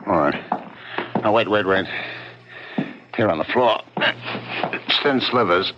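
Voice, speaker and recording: A man's voice: lowish voice, slow, muffled recording. An actor playing an anxious male professor who frets and repeats himself in a 1970s radio drama on a hissy old broadcast. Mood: fretful.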